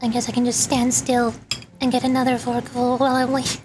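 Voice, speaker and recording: A woman's voice: a little high, monotone, a little fast, music in the background, low-quality recording. A woman chatting casually and playfully as she livestreams a video game, rambling to herself and her viewers. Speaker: streamer, VTuber. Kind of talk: casual gameplay livestream chat.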